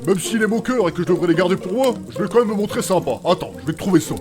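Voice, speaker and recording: A man's voice: high-pitched, lively intonation, rapid-fire, over music. An actor voicing a chatty, scatterbrained male alchemist in an audio fiction, rambling and cheeky.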